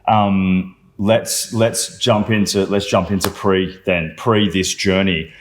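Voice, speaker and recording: Aussie guy hosting a lounge chat, lowish voice, keeps saying he loves that. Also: steady tone, takes it slowly. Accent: Australian. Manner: enthusiastic, repeats affirmations, frequent restarts. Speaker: podcast host. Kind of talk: casual interview chat. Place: studio lounge.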